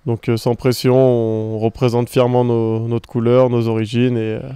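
A young male footballer from France interviewed outdoors among trees, speaking calmly into a handheld microphone with many hesitant fillers. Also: medium pitch, normal speed, clean tone, dry recording. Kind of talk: post-match style interview. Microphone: handheld microphone held by interviewer.

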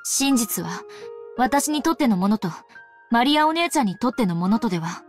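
A cool, irritated teenage girl from a visual novel, played by a woman. Her highish voice is curt and aloof but moves around in pitch, with music underneath. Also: expressive, moderate pace, lightly acted. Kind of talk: Japanese visual novel voice acting.

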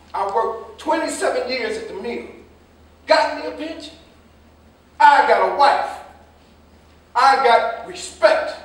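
A man's voice: extremely high pitch, fairly bright tone, slight background noise, heavily acted. An American man acting a stern, proud older father, scolding and bitterly disappointed, in a staged play reading. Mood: proud, angry. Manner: scolding, reproachful. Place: indoor staged reading, recorded from afar. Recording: echoey stage, distant microphone.

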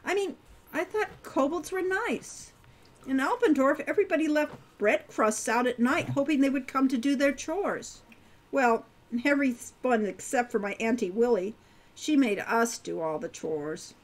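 A woman's voice: high voice, a little slow, rough recording. A woman voicing a curious, spirited teenage girl who talks eagerly in a storybook reading.

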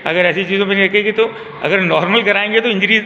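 A middle-aged gym trainer patiently explaining a cardio plan step by step, recorded at a distance on a phone in an indoor gym. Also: male voice, high-pitched, fast talker, pushed and bright, a little hiss or hum. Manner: patient, step-by-step instruction with frequent "inshallah". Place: indoor gym with hard walls. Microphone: vlogger's phone held at a distance. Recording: very echoey, dull gym audio.

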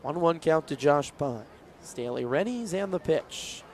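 A man's voice: on the high side, lively intonation, a little slow, darkish tone. A young man doing baseball play-by-play for radio as the announcer. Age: young adult. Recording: faint ballpark noise behind.

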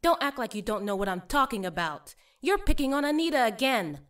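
Tough, protective female mechanic, played by a woman, high-pitched and biting, for audio fiction. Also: sing-song, brisk, fairly bright tone. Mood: angry. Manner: biting, confrontational.

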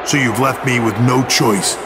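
An actor playing a calculating villain boss, delivering a deliberate, threatening wrestling promo in a video game. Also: male voice, mid-range voice, steady tone, a loud crowd, natural voice. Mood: menacing. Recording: noisy arena sound.

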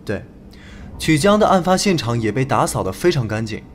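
A man playing a keen young investigator in an audio drama, brisk and conversational. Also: medium pitch, big pitch swings, slight background noise, close to an everyday voice. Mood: focused, brisk. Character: keen young male investigator on a murder case. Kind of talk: Chinese audio drama acting.

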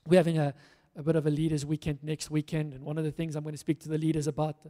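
A man's voice: on the high side, level tone, very fast, dark tone. A middle-aged man from South Africa, preaching on a church stage into a handheld mic, earnest and rousing with emphatic repetition. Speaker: pastor. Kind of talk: sermon. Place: church stage in a large hall. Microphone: handheld microphone. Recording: long hall reverb.

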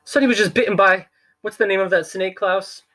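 A man reading an audiobook, voicing a panicky middle-aged banker who babbles frantically in a high-pitched voice. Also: fairly even intonation, brisk, sharp tone. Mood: incredulous. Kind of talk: audiobook character voice.

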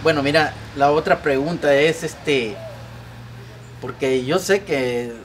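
A man's voice: fairly high pitch, slow, slight background noise. A man hosting a casual interview, filling gaps with hesitant fillers on an outdoor patio. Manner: backchannels a lot, hesitant with fillers.

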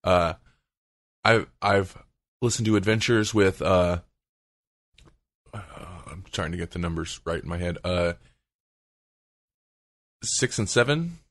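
A male podcast host talks casually in a low-pitched voice. He thinks aloud in a halting way, and the sound is close and dry with no room to it. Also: fairly bright tone, no room sound.

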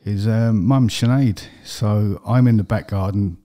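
A middle-aged man from London with a London accent, a podcast guest telling his story plainly, with steady fillers. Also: lowish voice, a little slow. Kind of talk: personal interview. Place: podcast studio with brick wall. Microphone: studio desk mic with headphones.

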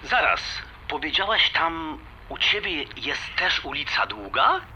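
A man's voice: a little high, big pitch swings, a little slow, pushed and bright, a little hiss or hum, exaggerated voice. A man playing an enthusiastic, romantic suitor in an audio drama, talking colloquially with bits of English mixed in. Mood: persuasive. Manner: eager, colloquial, mixes in English words. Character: enthusiastic, romantic suitor Jasiek, sure fate joins him.

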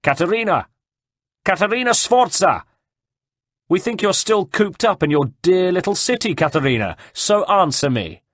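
A man voicing a mocking Italian nobleman villain in an audiobook, taunting and projecting loudly. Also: mid-range voice, very animated, a little slow, hardly any pauses, husky, no room sound, studio quality, pitched above the performer's own voice, heavily acted. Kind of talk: audiobook character dialogue.

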